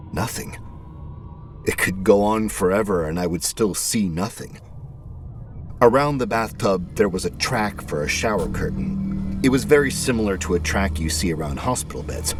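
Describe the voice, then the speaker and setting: A man narrating a scripted first-person story in a brooding, terse, deliberate manner. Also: deep voice. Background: background music.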